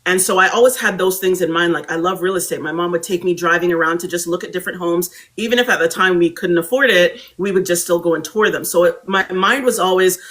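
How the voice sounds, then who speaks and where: A young adult woman from Barbados, a guest speaker telling her story in an animated, reflective conversational way. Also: fairly low pitch, fast, few pauses.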